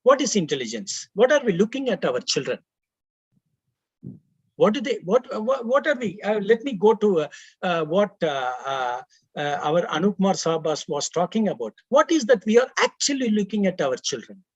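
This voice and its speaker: Husky, animated Indian man panelist. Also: high-pitched, moderate pace, no room sound. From Karnataka, India. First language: Tamil. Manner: rhetorical questions, frequent fillers and restarts. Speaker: webinar panelist. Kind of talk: earnest panel talk.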